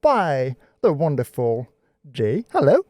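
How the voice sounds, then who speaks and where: A man from Canada hosting a live podcast in casual chat, relaxed and playful. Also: medium pitch, slow pace, no echo. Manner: relaxed, playful, thanks viewers warmly. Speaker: podcast host.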